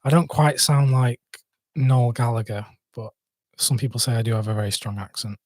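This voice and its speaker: A young man with a Mancunian accent hosts a casual live stream, rambling through viewer questions with plenty of fillers and false starts. His voice sits at an ordinary mid pitch, and the sound is dry and close with no room echo. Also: fairly even intonation, normal speed, darkish tone. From Manchester, England. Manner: rambling, hesitant, many fillers and restarts.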